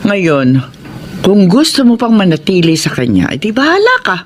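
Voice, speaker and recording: A woman's voice: medium pitch, wildly swooping pitch, normal speed. An actress playing a concerned, exasperated middle-aged mother in a Filipino radio drama, insistent and motherly, with a Filipino accent. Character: concerned, exasperated mother advising her grown daughter. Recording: clearly noisy background.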